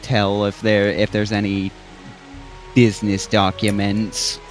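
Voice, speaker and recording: Low voiced guy playing a tabletop game, slow n hesitant, kinda thinking out loud. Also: completely flat delivery. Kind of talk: casual game chat. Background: background music.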